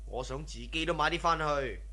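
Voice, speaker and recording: An actor playing a boastful, scheming, worldly drug-running cousin in a radio drama. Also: male voice, fairly high pitch, normal speed, pushed and bright. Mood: scheming, persuasive. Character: swaggering, scheming drug-running cousin, a carefree womanizing man. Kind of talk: Cantonese radio drama acting.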